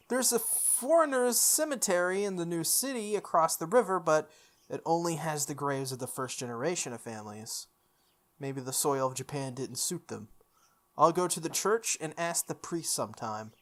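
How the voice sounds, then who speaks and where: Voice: medium pitch, a bit bright. A video game playthrough host reading a visual novel aloud, breaking off into informal, exclamatory asides. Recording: music under the voice. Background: background music.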